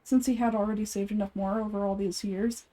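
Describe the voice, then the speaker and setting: A woman's voice: mid-range voice, level tone, a little fast, warm tone, lightly acted, poor audio. A woman voicing a cheerful, earnest townsperson in fan-made fantasy game dialogue. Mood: friendly, chatty. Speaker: amateur voice actor.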